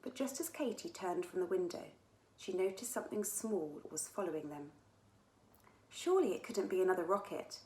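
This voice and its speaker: A woman reading a children's story aloud as its author, in an expressive storytelling manner. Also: on the low side, moderate pace. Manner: expressive, with dramatic character dialogue. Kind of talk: scripted children's story narration. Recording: echoey room sound.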